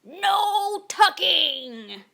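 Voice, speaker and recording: A woman voicing a rowdy crew of male pirates, shouting exaggerated cries for a storybook. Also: very high voice, very animated, drawn-out words, vocal fry, pitched above the performer's own voice, full character voice.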